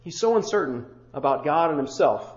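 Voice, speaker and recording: A man with a high-pitched voice preaches a sermon as a pastor. He stacks short phrases into rhythmic lists and drops into casual, conversational asides. The recording is dull and muffled, with a roomy echo around him. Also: lively intonation, brisk.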